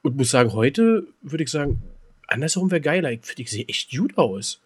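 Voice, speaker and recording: A man's voice: mid-range voice, animated, fairly quick, breathy edge. A man co-hosting a podcast in casual, teasing banter, often repeating the starts of his phrases. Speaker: podcast co-host.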